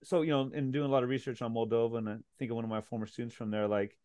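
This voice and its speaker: A man's voice: mid-range voice, rapid-fire, dark tone, dry recording, studio quality. A man hosting a podcast, asking rambling, searching questions full of fillers. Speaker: podcast host. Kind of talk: casual interview conversation.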